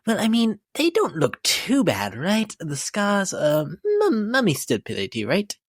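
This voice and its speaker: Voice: mid-range voice, huge pitch swings, measured pace, no room sound, studio quality. A performer voicing a vain, lovesick, unhinged lady pony in a dramatic reading, with a prim, theatrical, affected delivery. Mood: prim, shaken. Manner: theatrical, genteel, fussy, affected, swooping. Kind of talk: dramatic reading of a fan-made character blog. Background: dramatic instrumental music.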